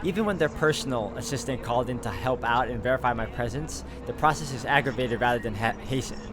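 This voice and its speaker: A man's voice: mid-range voice, fast. A man reading a visual novel aloud and thinking through its choices, with hesitations and asides. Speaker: game streamer reading the story aloud. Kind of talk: narrating and commenting on a visual novel. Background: loud game music.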